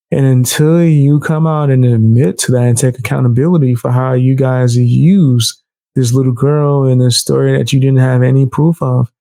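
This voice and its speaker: A man's voice: mid-range voice, vocal fry, mellow, no echo. A man hosting a casual reaction commentary, loose and laughing, often repeating himself and calling people bro. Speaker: commentary YouTuber.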